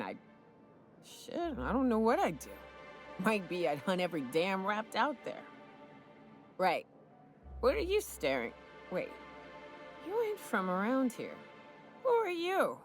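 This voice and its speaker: Drawling hungover frontier hunter. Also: female voice, lowish voice. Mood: gritty, boastful, then suspicious, amused. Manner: coarse, swearing, folksy. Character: rough, hard-drinking frontier woman hunter guide. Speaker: hunting guide. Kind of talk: video game voice acting. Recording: game audio with faint music.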